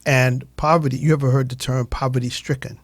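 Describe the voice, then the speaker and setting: A man's voice: mid-range voice, little pitch movement, fairly quick, no echo. A man hosting a radio show, chatting in a casual, affirming interview style.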